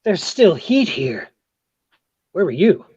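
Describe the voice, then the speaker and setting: A woman acting a nosy, motherly confidante hungry for romance, husky and theatrical in a comic play read. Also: on the low side, big pitch swings, slow pace, darkish tone, no room sound, full character voice. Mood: thrilled, breathless. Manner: theatrical, breathlessly prying. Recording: dull lo-fi, close dry sound.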